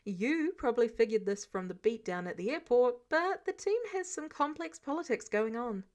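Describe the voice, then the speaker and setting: A woman's voice: on the high side, expressive, normal speed, natural voice. A woman voicing a wry, protective grown man, a superhero mentor with a casual, sardonic manner, in a story reading. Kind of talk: character dialogue in fan fiction reading.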